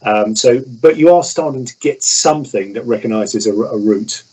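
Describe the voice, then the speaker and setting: A man with a husky, slightly rough voice at a middling pitch. He explains things informally, as an expert guest in conversation. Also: moderate pace, a little mellow, low-quality recording. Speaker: airline pilot, interview guest.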